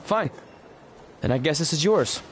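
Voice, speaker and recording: A man's voice: fairly high pitch, expressive, unhurried. A man acting as a cocky, paranoid, goofy wrestling champion, boastful and comically secretive in a scripted drama. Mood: boastful, defensive. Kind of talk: scripted comedic drama dialogue. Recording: faintly noisy location ambience.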